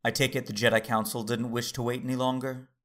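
An actor playing a calm, tender Jedi master, narrating in first person with a measured, formal manner and a gravelly, husky voice. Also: male voice, medium pitch, close to an everyday voice. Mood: composed.

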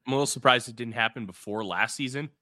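A man's voice: mid-range voice, fast talker, bright, forceful tone. A man hosting a podcast, talking in a laid-back, loose way with frequent fillers. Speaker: podcast host. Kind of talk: casual chat.

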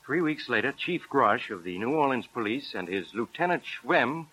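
Formal, animated police superintendent. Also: male voice, medium pitch, moderate pace, slightly rough, low-quality recording. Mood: grave, formal. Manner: formal, dramatic storytelling. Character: formal male police superintendent recounting a case. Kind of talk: 1940s radio narration.

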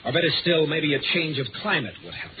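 A man's voice: fairly high pitch, lively intonation, noisy background, lightly acted. Man acting an anxious, harried man, tinny old radio. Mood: wounded, resigned. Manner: halting, strained, earnest pleading. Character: anxious, harried man haunted by fear of darkness. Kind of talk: 1950s radio drama acting.

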